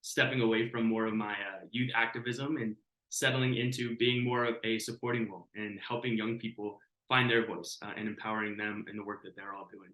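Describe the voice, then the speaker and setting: A young man in his twenties introduces himself as a guest. His voice has a bright, forceful edge and rings in a roomy space. He speaks earnestly but haltingly, often pausing on an uh. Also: lowish voice. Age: 20s. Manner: earnest, halting, many uhs. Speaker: youth mentor, guest. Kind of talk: casual self-introduction.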